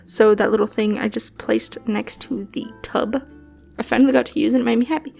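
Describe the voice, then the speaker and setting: A young woman talking casually over gameplay as a channel host, rambling with many fillers and soft laughs, a bit sniffly from allergies. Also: a little high, steady tone, fairly quick, creaky, faint music behind. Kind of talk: casual voice-over commentary on a building game.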